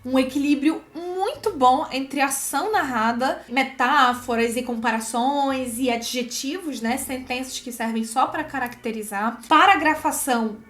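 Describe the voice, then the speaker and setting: A Brazilian woman with a notably high voice teaches screenwriting to viewers. Her delivery is chatty and expressive, with frequent little check-in tags as she explains and reads aloud. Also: a little slow, hardly any pauses. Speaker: screenwriting teacher and video host. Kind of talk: casual explanatory commentary with script read-aloud.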